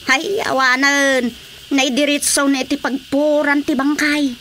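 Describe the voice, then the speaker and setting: A woman playing a talkative, concerned village woman in a Filipino radio drama, with an Ilocano accent and expressive, gossipy delivery. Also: sits high, unhurried, a little hiss or hum.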